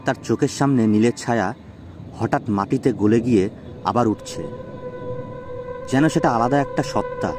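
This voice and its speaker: A man's voice: mid-range voice, normal speed, long pauses. A man narrating a suspense horror story in a dramatic storytelling style. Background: background music.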